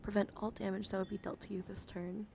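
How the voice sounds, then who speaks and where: A soft-spoken woman livestreaming in a gentle, soothing ASMR style, often slipping into whispers as she chats casually, close to the microphone. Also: mid-range voice, flat delivery, quick pace, gravelly, slight background noise. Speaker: livestream host showing trading cards.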